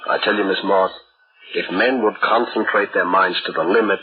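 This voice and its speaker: Man playing a pompous, lowish-voiced lecturing teacher. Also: fairly even intonation, moderate pace, phone-quality sound, lightly acted. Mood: fervent, lecturing. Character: opinionated, intense male psychology teacher. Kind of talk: old-time radio drama acting. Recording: thin, hissy old radio recording.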